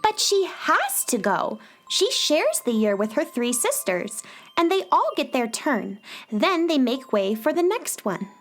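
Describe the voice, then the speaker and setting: A plucky young girl adventurer, voiced by a woman in a children's radio play. Her voice is high and swoops up and down dramatically. She sounds wide-eyed and curious. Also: big pitch swings, a little slow, faint music behind. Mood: concerned. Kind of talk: children's audio drama acting.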